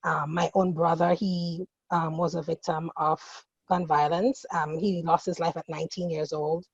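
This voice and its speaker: A woman graduate student on a panel, telling her path into education with passion, turning quieter and heavier as she speaks of loss. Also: sits low, level tone, normal speed, breathy edge, dry recording. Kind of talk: earnest personal account. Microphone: video call. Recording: dull lo-fi video call audio.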